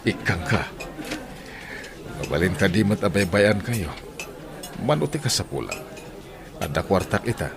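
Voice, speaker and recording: A man playing a smooth-talking, flirtatious family friend with a Filipino accent in a radio drama. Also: deep voice, expressive, measured pace, long pauses, crowd noise, heavily acted. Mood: generous, coaxing. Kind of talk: Ilocano radio drama acting.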